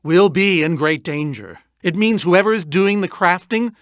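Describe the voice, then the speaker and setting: A man's voice: high voice, moderate pace, fairly bright tone, no room sound, clean studio sound. A man voicing an eccentric, boastful yet nervous inventor and adventurer in a video game, theatrical and wordy with hesitant asides. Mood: anxious, dramatic. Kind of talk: video game character voice acting.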